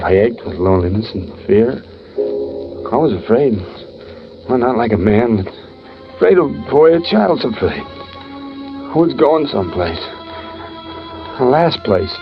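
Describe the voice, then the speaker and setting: Doomed death row man telling his story, deep voice, music under it, muffled old time radio. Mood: lonely, afraid. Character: condemned man, lonely and fearful, recalling death row. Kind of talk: 1940s-50s radio drama first-person narration.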